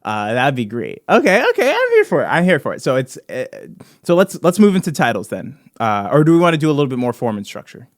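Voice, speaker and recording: A man's voice: mid-range voice, wildly swooping pitch, fast talker, no echo, studio quality. A man hosting a comedy podcast, chatting casually and playfully, often repeating and toying with his words. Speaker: podcast host.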